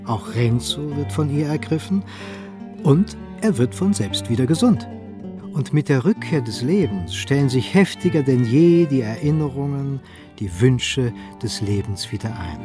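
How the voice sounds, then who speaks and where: Male narrator for literary radio drama, mid-range voice, scripted storytelling over clear guitar music. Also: mid-range voice, expressive, a little slow.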